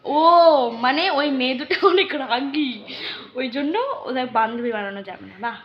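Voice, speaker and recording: A young adult woman, telling a story casually in a teasing, animated way and often checking that the listener follows. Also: high-pitched, unhurried, a little hiss or hum. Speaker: vlogger. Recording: dull lo-fi recording.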